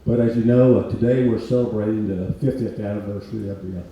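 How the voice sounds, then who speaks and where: Older man in his eighties, hoarse, low-pitched, halting delivery, heard from a distance in an echoey hall. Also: flat delivery, noisy background. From Mississippi Delta, USA. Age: 80s. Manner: halting, many pauses and filler ums. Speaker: guest speaker. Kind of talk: informal speech at a reunion event.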